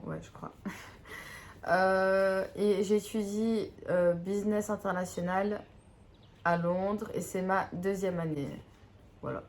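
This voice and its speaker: A young woman from Belgium, chatting casually to her camera, giggly and self-mocking. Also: mid-range voice. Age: young adult. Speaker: YouTuber.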